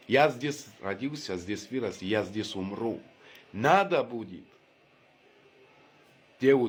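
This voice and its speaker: A man from Abkhazia, making an earnest, emphatic personal appeal straight to his viewers and repeating his points. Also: on the low side. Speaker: video blogger making a public apology. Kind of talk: direct-to-camera personal appeal.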